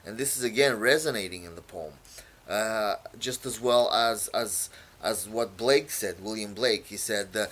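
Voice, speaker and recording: A man from Romania, whose first language is Romanian, explains his own poem in a halting way, full of fillers and repeated words. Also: fairly low pitch, a bit bright. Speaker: poet.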